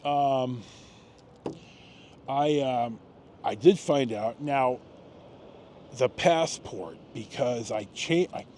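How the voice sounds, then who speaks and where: A man in his sixties with an American accent, telling personal stories casually, in a halting, rambling way with frequent pauses and repetitions. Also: mid-range voice, a little mellow, a little hiss or hum. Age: 60s. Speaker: storytelling vlogger.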